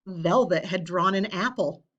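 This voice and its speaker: Female children's storybook reader, unhurried and expressive, measured phrasing, slightly muffled lo-fi sound. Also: on the low side. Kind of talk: children's read-aloud, scripted narration.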